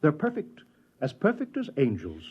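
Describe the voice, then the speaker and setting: A muffled 1950s radio recording carries an odd old gentleman. His husky voice swings widely in pitch as he talks on with courtly, theatrical flourish. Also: wildly swooping pitch, moderate pace, heavily acted. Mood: genial, sly. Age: older. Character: odd, genial old man claiming to be banished alien.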